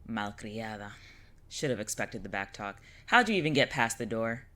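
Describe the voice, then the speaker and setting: Audio drama witch, woman actor: sharp-voiced grown woman, slangy, sardonic, short on patience. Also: very deep voice, lively intonation, moderate pace. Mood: wry, irritated. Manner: wry, slangy, dismissive. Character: gruff, sardonic adult witch, easily exasperated.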